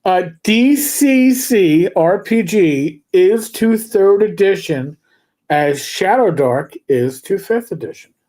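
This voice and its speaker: Man telling rambling drawn-out anecdotes. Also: high-pitched, animated, dark tone. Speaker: livestream co-host. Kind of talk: casual chat about tabletop games.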